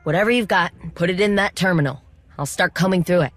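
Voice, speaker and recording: An actress playing a determined, wry droid mechanic in a video game, speaking informally with coaxing, teasing encouragement. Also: female voice, fairly low pitch, fast, faint music behind, lightly acted. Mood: patient, encouraging.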